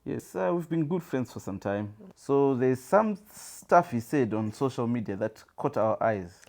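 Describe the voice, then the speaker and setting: A Zimbabwean man co-hosting a casual chat, speaking hesitantly with many pauses and fillers. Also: medium pitch, lively intonation, vocal fry, a little mellow. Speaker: co-host.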